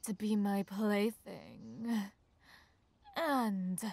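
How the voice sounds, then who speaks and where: Woman playing a slow-talking, teasing college bully girl. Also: mid-range voice, expressive, darkish tone, dry recording, full character voice. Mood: bossy, teasing. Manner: slow, taunting, breathy teasing delivery. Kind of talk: ASMR roleplay.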